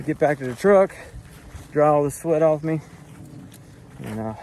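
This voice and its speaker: Man, hiking vlogger, breathless, slightly hoarse, talks casually to a handheld camera, faint outdoor noise behind. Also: on the high side, expressive, measured pace, a little mellow. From Florida. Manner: breathing hard, winded while hiking uphill. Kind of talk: casual vlog narration to camera. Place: outdoors on a forest hiking trail.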